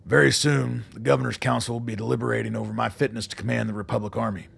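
Radio play colonel, male actor: embattled, blunt and commanding, very husky, heavily creaky voice. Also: medium pitch, little pitch movement, fast, fairly bright tone, exaggerated voice. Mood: frustrated. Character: gruff, embattled military colonel defending a rebel republic. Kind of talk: radio drama acting.

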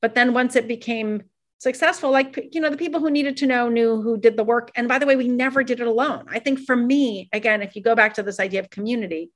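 A middle-aged woman from Iran, talking fast and animatedly as an interview guest, with many fillers and restarts. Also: on the high side. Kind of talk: reflective interview conversation.

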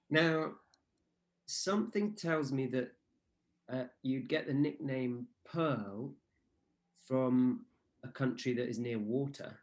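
A man's voice: mid-range voice, slow, pauses often. A man, co-hosting a casual chat and telling a playful, rambling story as the show's language guru. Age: 30s. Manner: anecdotal, with restarts and playful asides.

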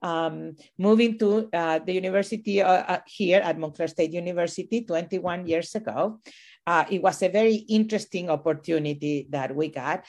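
A middle-aged woman from Chile, raised in Venezuela, speaks in a slightly low voice as an interview guest. She reflects on things at length, circling back with fillers and restarts as she works her thoughts out. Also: moderate pace, a little mellow. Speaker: university administrator and professor, podcast guest.

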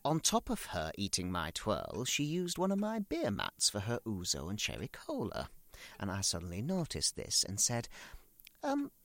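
A man's voice: lowish voice, wildly swooping pitch, normal speed, gravelly, a bit bright, dry recording, heavily acted. A man playing a fussy, self-pitying grown son in an audio drama monologue, chattily grumbling and rambling in darkly comic asides. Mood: indignant.

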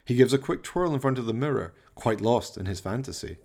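A man's voice: mid-range voice, expressive, fairly quick, gravelly, darkish tone. A man narrating a scripted radio play in an arch, florid, dramatic storytelling manner.

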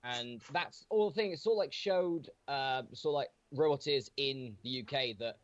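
A man's voice: moderate pace, highs cut off, a little hiss or hum. A man in his twenties, a guest on a casual podcast, rambling and joking with many fillers. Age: 20s. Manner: rambling, jokey, pitch swings a lot.

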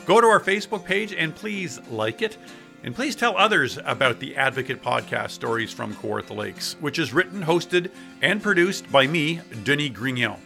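A man's voice: fairly high pitch, lively intonation, normal speed, creaky, sharp tone, faint music behind. A man hosting a local podcast, reading a comic sketch and a wry, self-deprecating outro. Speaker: podcast host.

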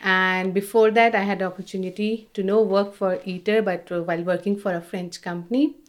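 A woman's voice: medium pitch, fairly even intonation, brisk. Indian-accented woman engineer explaining conversationally. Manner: explanatory, frequent "you know". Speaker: engineer, interview guest. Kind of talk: conversational interview answers.

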